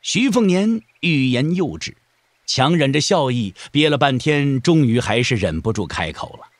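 A man's voice: mid-range voice, big pitch swings, normal speed, no room sound. A man narrating a martial-arts audio drama in a dramatic, scripted storytelling style.

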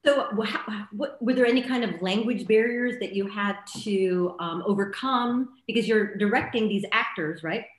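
A woman's voice: medium pitch, moderate pace, few pauses. A middle-aged woman moderating a casual panel interview over a video call, with a roomy sound. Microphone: computer mic on video call.

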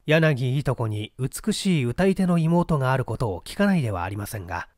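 A man narrating mystery fiction as a scripted literary reading, with measured, formal storytelling. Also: medium pitch, sing-song, a little mellow, no echo, clean studio sound. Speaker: literary narrator, stage performer.

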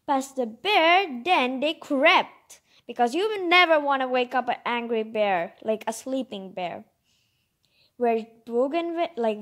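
A woman reading a picture book aloud expressively, adding informal, enthusiastic asides of her own. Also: high-pitched. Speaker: storybook reader and commentator. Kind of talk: read-aloud storytelling with chatty asides.

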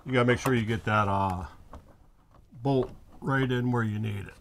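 A middle-aged man talks through a hands-on repair in a small RV bedroom in a plain, everyday voice of medium pitch. He recorded it on a phone held close, with a faint hiss behind him. He thinks aloud while he works. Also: moderate pace, gravelly. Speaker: RV owner showing a repair. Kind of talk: casual how-to narration.

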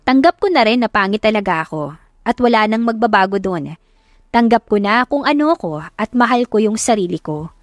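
A woman's voice: on the high side, lively intonation, brisk, no room sound. Dry-sounding woman narrating a love story. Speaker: audiobook narrator. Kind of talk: dramatic scripted first-person story narration.

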